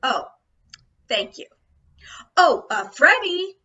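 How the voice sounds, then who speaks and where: A woman playing a polite, unhurried, probing male police captain in old-time radio drama, with a casual, mild manner. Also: sits high, very animated, sharp tone, exaggerated voice. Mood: cool, polite. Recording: dull band, faint noise.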